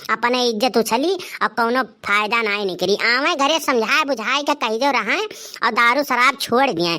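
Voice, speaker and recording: A woman's voice: on the high side, bright, forceful tone. A woman voicing a concerned village neighbour in a cartoon, pleading and advising with an Awadhi accent. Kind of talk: dialect cartoon comedy acting.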